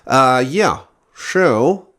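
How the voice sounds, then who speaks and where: A man's voice: mid-range voice, expressive, extremely slow, a bit bright. A man with a stuffy, nasal voice, musing aloud about games in a rambling, casual way to his viewers. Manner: rambling, many restarts and fillers. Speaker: video creator. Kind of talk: casual unscripted musing to camera.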